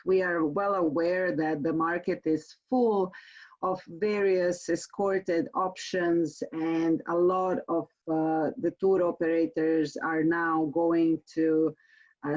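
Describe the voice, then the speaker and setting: A woman from Croatia with a Croatian accent gives a webinar sales presentation as a tour company presenter, speaking haltingly with frequent pauses. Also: lowish voice. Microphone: online webinar call. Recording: muffled, band-limited webinar call audio.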